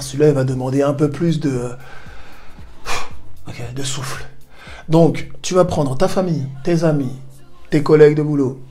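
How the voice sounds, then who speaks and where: A man's voice: on the high side, darkish tone. A man hosting a self-help video, coaching the viewer in a casual, direct way with playful asides. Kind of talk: direct-to-camera coaching talk. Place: home in southern Spain.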